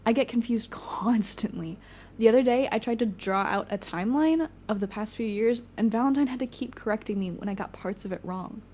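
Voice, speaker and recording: A woman's voice: a little high, brisk, a little mellow, a little hiss or hum, close to an everyday voice. An actress playing a weary, frank young woman, a supportive friend, in casual audio drama dialogue. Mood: weary, firm. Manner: casual, candid.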